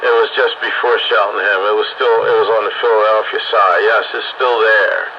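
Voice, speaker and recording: Older american guy rambling about old times on cb radio, lowish voice, lots of static noise. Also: steady tone, fairly quick. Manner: rambling, reminiscing. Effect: two-way radio transmission. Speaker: radio hobbyist. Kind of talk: casual reminiscing over CB radio. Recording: noisy, dull lo-fi radio transmission.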